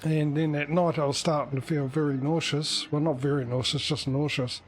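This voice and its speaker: A man's voice: fairly high pitch, flat delivery, moderate pace, a little hiss or hum. A man chatting casually as a livestream host, rambling and hesitant, often correcting himself as he goes.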